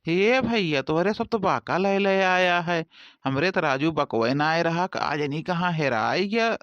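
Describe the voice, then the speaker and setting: A man's voice: on the high side, expressive, a little slow, a bit bright, no echo. Man acting grumbling Awadhi village farmhand, muffled sound. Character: grumbling Awadhi village farmhands idling over cane cutting. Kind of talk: rustic cartoon comedy dialogue.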